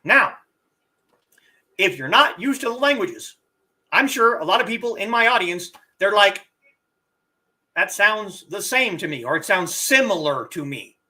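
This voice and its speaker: A middle-aged martial arts teacher chatting casually on a live stream, reading viewer comments and sounding out words with animated emphasis. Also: medium pitch. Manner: animated, drawn-out demonstrations of word sounds.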